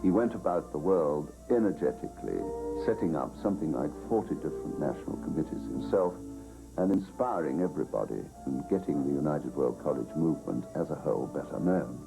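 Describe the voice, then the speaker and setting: A man recalling events in a measured, formal documentary interview. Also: extremely low pitch, lively intonation, gravelly, low-quality recording. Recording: documentary with music underneath.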